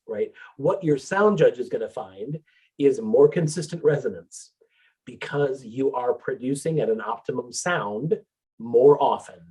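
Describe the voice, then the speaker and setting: Husky man explaining singing technique. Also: mid-range voice, poor audio. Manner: explanatory, relaxed with filler words. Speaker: vocal coach presenter. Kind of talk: educational talk on singing technique.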